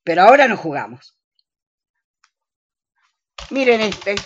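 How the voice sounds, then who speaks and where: A woman's voice: mid-range voice, very animated, takes it slowly, lo-fi sound. A woman playing a tipsy, bossy older woman in a comic radio play, exclamatory and theatrical, with a Rioplatense Argentine accent. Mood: dry, firm.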